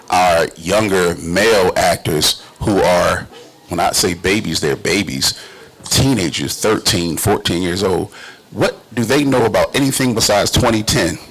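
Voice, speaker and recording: A man's voice: low-pitched, a bit bright, slightly rough, low-quality recording. A man, a stage actor, talking casually and informally on an interview panel.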